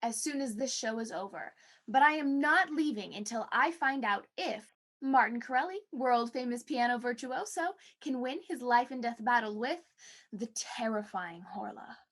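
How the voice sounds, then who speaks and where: A woman's voice: on the high side, lively intonation, moderate pace, fairly bright tone. A woman playing a swooning, starstruck co-host on a 1940s radio show, in period acting style. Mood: gushing, dramatic.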